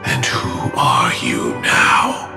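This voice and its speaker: An actor playing a grim, fatalistic harbinger of death in a game, ominous and deliberate, coldly mocking. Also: male voice, extremely low pitch, wildly swooping pitch, heavily acted. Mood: ominous, probing. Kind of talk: scripted dramatic game dialogue. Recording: echoey space under clear music.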